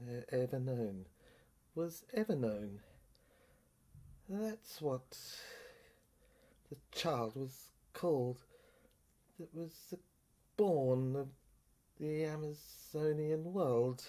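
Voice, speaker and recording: A woman performing a dramatic literary monologue in a theatrical, intense and halting way, in broken phrases. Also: extremely low pitch, warm tone. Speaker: performer reading a serial screenplay.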